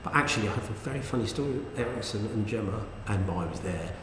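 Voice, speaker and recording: Animated man in an echoey room. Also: quick pace, background noise. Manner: chatty anecdotal storyteller, lively swings in pitch. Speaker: podcast co-host. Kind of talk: casual chat. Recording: echoey room with long reverb.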